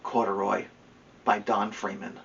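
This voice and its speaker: A man hosting a story time, reading a children's book aloud in an expressive way and chatting to his listener. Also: lowish voice, measured pace, vocal fry. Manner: expressive, talks to listener between story lines. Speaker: storytime host. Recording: distant mic, dull lo-fi sound, faint noise.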